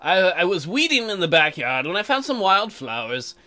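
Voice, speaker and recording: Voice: mid-range voice, sing-song, normal speed, bright, forceful tone, faint music behind. A let's play host reading game text aloud and breaking in with sarcastic, crude joking commentary.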